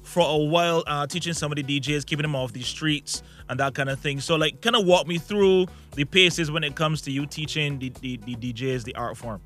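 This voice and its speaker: Bright-voiced male radio morning host. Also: fairly high pitch, a little fast, hardly any pauses. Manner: informal, encouraging interjections. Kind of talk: casual broadcast interview. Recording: music playing behind the voice.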